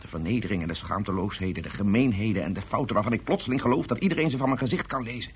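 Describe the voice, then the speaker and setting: An actor in a radio drama playing an ashamed, quick-tempered father and scientist, speaking with intense self-reproach. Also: fairly low pitch, slightly rough. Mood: ashamed, confiding. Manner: intense, self-reproaching, halting when moved.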